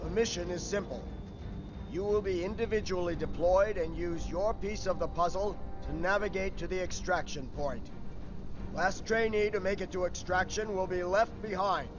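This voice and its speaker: Cartoon military instructor played by a man: stern, clipped, authoritative orders over loud music. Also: high-pitched, moderate pace, lightly acted. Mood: stern, measured. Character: stern, commanding male military drill instructor of young trainees. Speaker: drill instructor. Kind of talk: scripted military orders in an animated series. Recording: dull sound under loud music.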